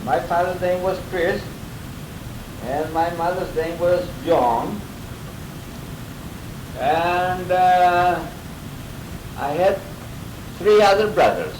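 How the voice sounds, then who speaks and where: A man's voice: high voice, expressive, very slow, long pauses, reverberant, distant mic, background noise. A man in his eighties, from Greece, telling an interview about his childhood in a rambling way with chuckles. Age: 80s. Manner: chuckling, rambling storyteller with restarts. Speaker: interviewee, grandfather. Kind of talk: casual oral-history interview. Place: home.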